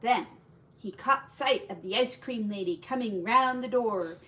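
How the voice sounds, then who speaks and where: Slow, higher-voiced woman reading a storybook. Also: bright, forceful tone. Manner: dramatic, drawn-out emphasis on key words. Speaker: teacher reading aloud to children. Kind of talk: expressive picture-book storytelling with casual asides.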